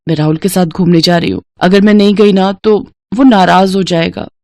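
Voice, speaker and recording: A woman's voice: lowish voice, steady tone, normal speed, a little mellow, dry recording, clean studio sound, close to an everyday voice. A woman voicing a headstrong, lovestruck schoolgirl in a dramatized story, speaking in an emphatic, earnest way. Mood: pleading. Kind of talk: dramatized Hindi moral story acting.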